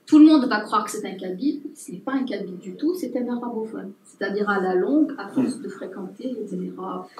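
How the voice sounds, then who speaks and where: A woman anthropologist speaking at a public talk, pausing often with fillers and restarts. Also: medium pitch, a little mellow, slight background noise. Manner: hesitant, many fillers and restarts. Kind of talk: unscripted talk to an audience. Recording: roomy distant mic with faint ambience.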